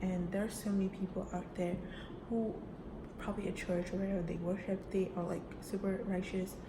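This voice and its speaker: A woman's voice: medium pitch, little pitch movement, fairly quick. Chatty young woman in an echoey room. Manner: casual, chatty, with frequent fillers like "right?" and "you know". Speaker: student giving a class speech. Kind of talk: personal self-introduction speech. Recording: echoey room with background noise.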